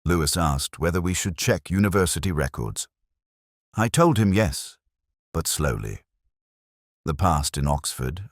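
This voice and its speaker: A man reading a scripted first-person detective story in a measured, literary, reflective manner. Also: very deep voice, dry recording, clean studio sound. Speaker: narrator.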